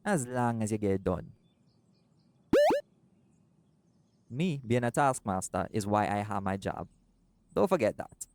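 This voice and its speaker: A man voicing a doting, laid-back seagull shifter boyfriend in an intimate audio roleplay, speaking softly and affectionately. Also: fairly low pitch, expressive, moderate pace, background noise. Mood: firm. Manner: soft-spoken, affectionate, creole-inflected speech.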